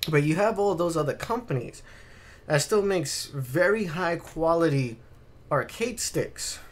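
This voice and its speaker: A man's voice: on the high side, lively intonation, unhurried. A man co-hosting a casual gaming podcast, explaining things in an informal, sweary way. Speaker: podcast co-host.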